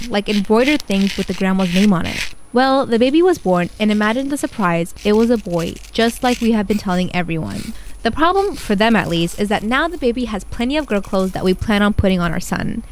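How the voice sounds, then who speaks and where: Fast-talking young woman telling a story. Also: medium pitch, lively intonation, a little hiss or hum. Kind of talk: first-person storytime narration.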